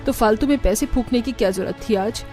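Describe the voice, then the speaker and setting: A woman's voice: a little high, a little fast, mellow, soft background music, lightly acted. A woman voicing a stern older mother-in-law in a cartoon story, bossy and scolding. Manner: scolding, commanding.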